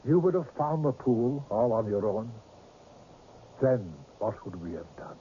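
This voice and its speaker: Radio drama villain, male actor: older man, measured and condescending, relaxed timbre, lively inflection, dull old recording. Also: mid-range voice, natural voice. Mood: smug, menacing. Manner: measured, formal, patronising. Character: cool, condescending older man, agent of a secret tribunal. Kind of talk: 1980s radio drama acting. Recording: muffled, slightly noisy old radio recording.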